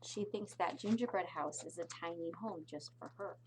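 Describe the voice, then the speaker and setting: A woman teacher reads a picture book aloud for young children, expressively and deliberately, adding little explanatory asides. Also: lowish voice, a little hiss or hum, rough recording. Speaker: pre-K teacher reading aloud.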